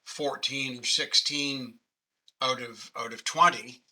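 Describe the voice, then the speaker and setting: A man's voice: medium pitch, steady tone, slow pace, sharp tone. A man in his late sixties from Canada with a Canadian accent, patiently explaining grammar in a casual online class over a webcam. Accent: Canadian English. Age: 60s. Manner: patient, conversational, self-deprecating. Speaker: webinar presenter. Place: home office. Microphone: webcam video call.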